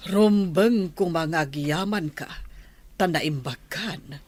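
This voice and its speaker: A woman playing a concerned, gently scolding mother in a radio drama. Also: fairly low pitch, takes it slowly.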